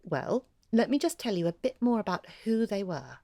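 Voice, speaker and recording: A woman's voice: mid-range voice, big pitch swings, normal speed, vocal fry, dark tone, dry recording. An actress playing a woman who reads out her own long email as a confiding storyteller in an audio drama. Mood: measured. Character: Hilary, a woman letter-writer recounting local history. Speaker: letter writer.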